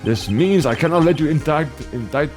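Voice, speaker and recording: A man voicing an elderly male courtroom judge in a comic game reading, speaking ponderously and haltingly. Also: on the high side, expressive, a little mellow, soft background music, voice pushed down, natural voice. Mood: solemn. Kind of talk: comic amateur video game dramatic reading.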